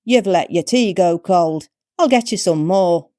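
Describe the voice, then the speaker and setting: A woman voicing a meddling elderly crone in a story, brisk, fussy and coolly indifferent. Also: on the low side, mellow, no echo, studio quality, voice pushed up, lightly acted. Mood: brisk, fussing.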